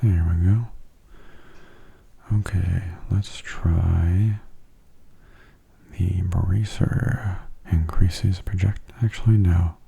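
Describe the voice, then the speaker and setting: A man giving soft-spoken ASMR commentary on a game in a gentle, unhurried, casual way, his hushed voice often slipping into whispers. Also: deep voice, fairly even intonation, creaky, breathy edge. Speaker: gameplay video host.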